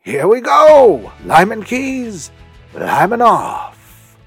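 A man's voice: sits high, huge pitch swings, extremely slow, a bit bright, slightly rough, soft background music, full character voice. A man playing an eccentric, rambling podcast host who interrupts himself while chattily making recommendations. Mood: eager. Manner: rambling, self-interrupting, swinging from muttering to hearty. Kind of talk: chatty promotional monologue.

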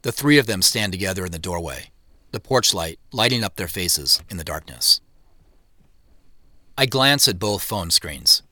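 An earnest, expressive narrator, bright voice. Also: male voice, sits low, moderate pace, full character voice. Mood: wistful, reflective. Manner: earnest, reflective. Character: Joseph, a conflicted, career-driven man holding back emotion. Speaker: narrator and lead actor. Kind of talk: introspective first-person audio drama narration.

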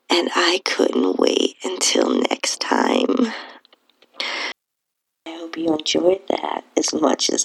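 A woman narrating a first-person erotic story in an intimate, breathy way, often dropping toward a whisper. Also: low-pitched, poor audio. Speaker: podcast host reading own stories.

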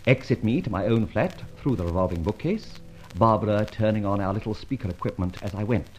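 An actor playing a suave, quick-witted gentleman adventurer in an old-time radio drama, theatrical and teasing with a mock-grand manner. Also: fairly low pitch, animated, faint music behind, natural voice, low-quality recording. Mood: smooth narrating. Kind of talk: old-time radio drama acting and first-person narration.

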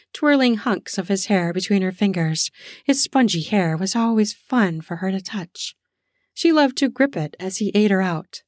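A woman narrating an erotic audio story in a slow, sensual way, lingering on words for emphasis. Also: medium pitch, darkish tone, no room sound, studio quality. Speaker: storyteller, podcast host.

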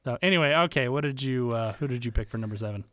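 A man co-hosting a casual podcast chat, relaxed, sharing facts as he talks. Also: mid-range voice, quick pace, no room sound. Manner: relaxed, shares trivia. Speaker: podcast co-host.

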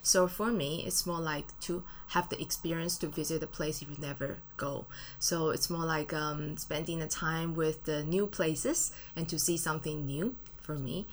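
A woman's voice: deep voice, fairly even intonation, normal speed, slight background noise. A woman from Taiwan, answering as a studio guest, speaking English casually with fillers and grammar. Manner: friendly, casual, uses fillers and non-native grammar. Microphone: studio mic.

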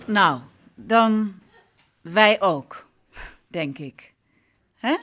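An actress playing a forthright, incredulous grown-up daughter in a radio drama, halting and questioning. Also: female voice, mid-range voice, animated, a bit bright, slight background noise. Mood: doubtful. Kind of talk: Dutch radio drama acting.

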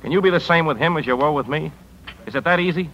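An actor playing a sardonic, stubborn newspaper editor with a clipped, wry manner in a 1950s radio drama. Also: male voice, a little high, animated, fast talker, fairly bright tone, highs cut off. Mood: pleading, insistent. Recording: 1950s radio broadcast with faint noise.

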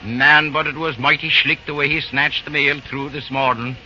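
A colorful engineer in a vintage radio play. His voice is very bright and a bit high, with a folksy storytelling way. The recording is dull and faintly noisy. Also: fairly even intonation, normal speed. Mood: warm, reflective. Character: good-natured, colorful Irish railroad engineer. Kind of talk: old-time radio drama acting.

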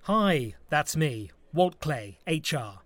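An actor playing a mild, earnest human resources officer in an audio comedy. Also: male voice, a little high, big pitch swings, slow pace, a bit bright, no echo, heavily acted. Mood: earnest, dry. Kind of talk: audio drama comedy acting.

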